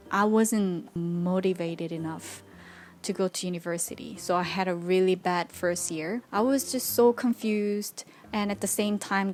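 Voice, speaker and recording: A woman in her twenties from Korea, speaking English with a Korean accent and traces of Canadian and British, talking candidly to camera in a vlog with loose non-native grammar. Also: mid-range voice. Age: 20s. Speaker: vlogger. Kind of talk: casual vlog talk to camera.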